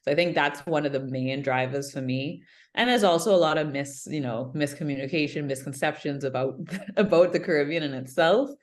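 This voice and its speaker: A woman with a Bahamian accent, a yoga instructor being interviewed, relaxed and prone to light laughs. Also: sits low, brisk.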